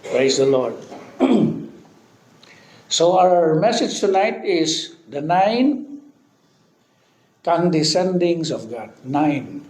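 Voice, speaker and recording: A man in his fifties with a Filipino accent preaching a sermon, often breaking in with amen and hallelujah and pausing on fillers. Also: fairly high pitch, big pitch swings, darkish tone. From Philippines. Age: 50s. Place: church hall. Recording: echoey church hall with faint background noise.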